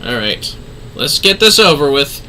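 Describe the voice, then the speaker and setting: A man's voice: a little high, big pitch swings, unhurried, sharp tone, slight background noise, rough recording. Offhand man streaming a video game. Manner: offhand, wry, slangy. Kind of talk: casual, muttered livestream game commentary.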